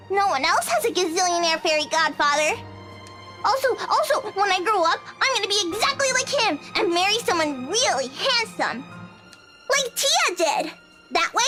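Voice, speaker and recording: A bubbly, chatty little schoolgirl in a visual novel game. Her voice is extremely high and swings up and down with innocent cheer, over clearly audible music. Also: sing-song, moderate pace. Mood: excited, proud.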